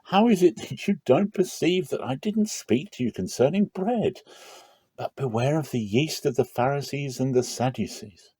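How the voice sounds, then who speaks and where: A man's voice: mid-range voice, lively intonation, moderate pace, warm tone, husky, no echo, voice pushed up. A man voicing a stern, authoritative male teacher who instructs emphatically, in a dramatic scripture reading. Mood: exasperated reproof.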